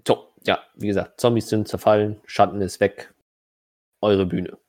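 Low-voiced man as game master. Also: little pitch movement, unhurried, darkish tone, dry recording. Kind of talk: improvised tabletop game narration in German.

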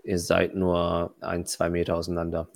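A man's voice: deep voice, monotone, normal speed, vocal fry, no room sound. A man narrating a tabletop game casually as game master, hesitantly mumbling to himself as he works things out.

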